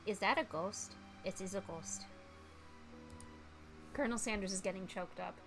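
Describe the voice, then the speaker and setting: A woman streamer doing a playful let's-play, reading game text aloud and reacting with animated exclamations. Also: medium pitch, moderate pace, over music.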